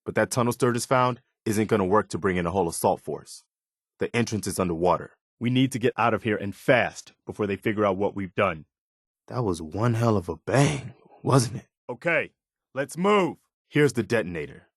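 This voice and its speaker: An actor playing an earnest, principled and loyal soldier in a video game, speaking in a sincere, plain-spoken way. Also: male voice, fairly low pitch, lively intonation, normal speed, no echo, clean studio sound. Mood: urgent. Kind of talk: video game companion voice lines.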